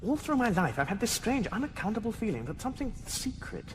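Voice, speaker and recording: A man's voice: high voice, very animated, quick pace. An actor playing a bewildered, mild-mannered human everyman in a radio comedy, hesitant and politely baffled. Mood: earnest, perplexed. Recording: reverberant hall with background noise.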